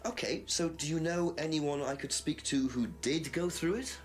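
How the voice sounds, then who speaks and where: An actor playing a persistent, dry police detective inspector in a mystery game, questioning and skeptical. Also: male voice, a little high, normal speed, soft background music, natural voice. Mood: probing, puzzled. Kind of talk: FMV mystery game acting.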